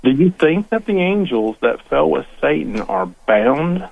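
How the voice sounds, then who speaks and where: A man's voice: on the high side, big pitch swings, a little slow, gravelly, a little hiss or hum. A man calling into a radio show, asking a question in a hesitant, rambling way with many fillers. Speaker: caller. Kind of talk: call-in question on the phone. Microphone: telephone. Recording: tinny dry phone line.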